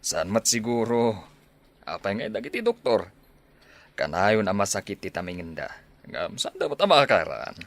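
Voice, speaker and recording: A man acts out a village father in a radio drama. He is a rural farmer with a low voice, talking in everyday dialogue. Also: sing-song, normal speed, gravelly, fairly bright tone, heavily acted. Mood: chatty. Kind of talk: Ilocano radio drama acting.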